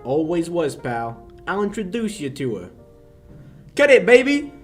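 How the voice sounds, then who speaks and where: A young actor playing a slick, mocking, menacing racketeer in a 1940s-style radio play. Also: male voice, on the high side, big pitch swings, a little slow, soft background music. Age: young adult. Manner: sneering, wisecracking. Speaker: high school actor.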